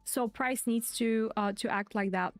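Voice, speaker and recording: A woman's voice: a little high, fairly even intonation, measured pace, no echo, faint music behind. A woman host giving live stream market commentary in a chatty way, repeating her key points. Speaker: crypto trading streamer.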